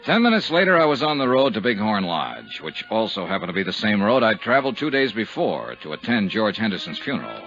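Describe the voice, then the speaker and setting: An actor playing a hard-boiled insurance investigator in a 1950s radio drama, clipped, dry and matter-of-fact. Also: male voice, on the low side, big pitch swings, fairly quick, hardly any pauses. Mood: dry narration. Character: brisk, hard-boiled insurance investigator narrating his case. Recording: dull 1950s radio recording, music behind.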